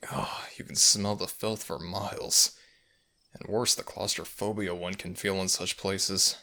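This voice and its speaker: A man voicing a possessive, protective male fox spirit in an intimate ASMR audio roleplay, brooding and gravelly, with old-fashioned formal speech. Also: low voice, little pitch movement, measured pace, pushed and bright, heavily acted. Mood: brooding, longing. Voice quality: gravelly, breathy at times.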